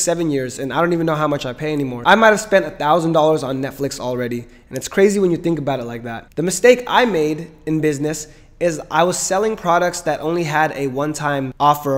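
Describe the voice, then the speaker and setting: Upbeat young guy in his twenties, a bit high-voiced, punchy and persuasive, business vlog delivery. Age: 20s. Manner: energetic, emphatic, persuasive. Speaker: entrepreneur vlogger. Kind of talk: direct-to-camera business vlog.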